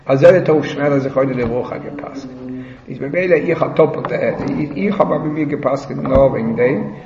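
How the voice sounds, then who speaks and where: A man leading a religious text study discussion, repeating words in stammering bursts and reciting passages rapidly. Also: medium pitch, a little mellow, slight background noise. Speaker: study session leader. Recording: roomy, dull recording with people around.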